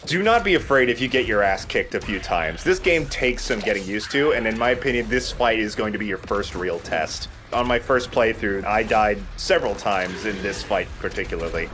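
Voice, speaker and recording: A man's voice: fairly low pitch, expressive, moderate pace, few pauses, fairly bright tone, a little hiss or hum. A man hosting a let's play with casual, conversational, explanatory commentary.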